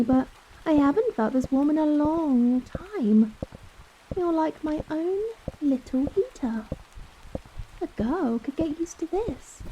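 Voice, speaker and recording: A woman playing a gentle, possessive giant vampire in an ASMR roleplay, coaxing and softly commanding the listener. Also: high-pitched, muffled recording, slight background noise. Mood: tender, cozy. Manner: slow, coaxing, softly commanding, breathy sighs and chuckles.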